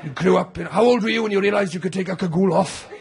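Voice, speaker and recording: A Welsh man hosts a stand-up show from a theatre stage, teasing the audience and building deadpan tall stories. His voice sits very high with a gravelly edge. A noisy live crowd fills the recording behind him. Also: racing. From Wales. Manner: chatty, teasing, mock-serious storytelling. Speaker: stand-up comedian and host. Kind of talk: stand-up comedy with crowd work.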